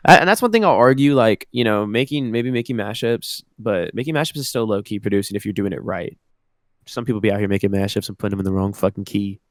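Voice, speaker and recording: A man's voice: lowish voice, quick pace, dry recording, clean studio sound. A young man in his twenties from Southern California co-hosting a podcast, rambling casually with slang and fillers. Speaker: podcast co-host. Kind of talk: casual chat.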